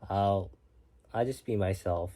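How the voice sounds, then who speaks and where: A man's voice: sits low, takes it slowly. A young man from Korea chatting casually to his stream at night, musing aloud and sometimes dropping to a whisper. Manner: soft-spoken, musing, sometimes drops to a whisper. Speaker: livestream host reading chat. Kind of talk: casual late-night chat. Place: home at night.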